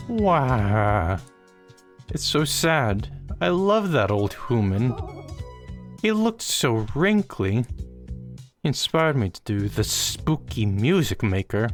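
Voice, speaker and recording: A man voicing a timid, panicky little male ghost who squeaks and stammers when nervous. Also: big pitch swings, slow, soft background music. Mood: fretful.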